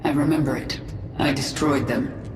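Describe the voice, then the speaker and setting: A woman's voice: low-pitched, steady tone, normal speed, background noise, close to an everyday voice. An actress playing a commanding, vengeful alien queen in a video game, speaking tersely and with resolve. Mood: grim resolve. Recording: echoing, distant, loud music behind.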